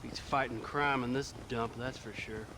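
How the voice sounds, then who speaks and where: A man's voice: medium pitch, steady tone, moderate pace, sharp tone. An actor playing a jaded, talkative American government lawman in a Western game, folksy and wry. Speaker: video game character. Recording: clearly noisy outdoor ambience.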